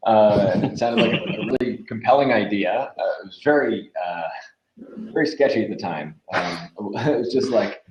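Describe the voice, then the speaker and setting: A man's voice: lowish voice, normal speed, muffled recording. A man chatting casually as a podcast guest and voiceover actor, telling his story over an online call. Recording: echoey online call with noise, clicks.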